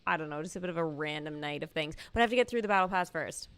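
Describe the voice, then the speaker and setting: A woman hosting a live game stream in casual, excitable chat, thinking aloud as she goes. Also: deep voice, rapid-fire, clear, resonant tone. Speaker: livestream host, gamer.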